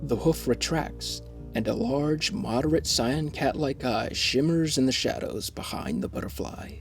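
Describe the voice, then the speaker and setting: A man narrating a scripted fantasy story in a measured, descriptive way. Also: medium pitch, faint music behind.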